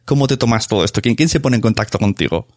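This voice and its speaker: A man's voice: medium pitch, expressive, fast talker, no echo, studio quality. A man from hosting a podcast interview in a casual, chatty way.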